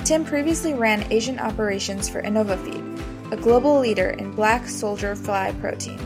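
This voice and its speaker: A woman's voice: mid-range voice, normal speed, over music. Upbeat woman podcast host. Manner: upbeat, enthusiastic. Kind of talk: scripted introduction and interview questions.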